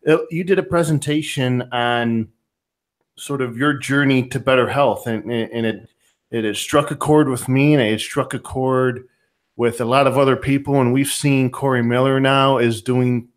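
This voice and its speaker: A man's voice: medium pitch, steady tone, normal speed. A man hosting a podcast interview, winding through long setups full of fillers before asking his question. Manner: rambling setups with many fillers. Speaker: podcast host. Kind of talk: conversational interviewing.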